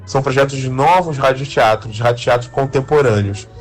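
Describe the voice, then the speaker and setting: A man with a Brazilian accent explaining his field in an interview, haltingly, often adding little tag questions. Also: mid-range voice, faint music behind. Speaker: interviewed radio drama creator.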